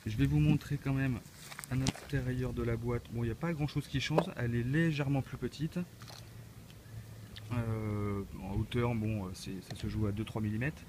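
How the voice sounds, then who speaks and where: A man's voice: medium pitch, fairly even intonation, moderate pace, noisy background. A man with a cold giving a casual, hesitant unboxing walkthrough as a tech reviewer, with many filler sounds. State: has a cold.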